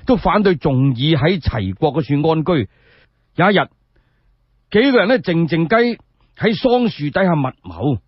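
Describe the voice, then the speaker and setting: A man telling a story in Cantonese in a dramatic, colloquial radio storytelling style, with drawn-out phrasing and rhetorical questions. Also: a little high, husky, no room sound. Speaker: solo storyteller. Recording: dull lo-fi sound.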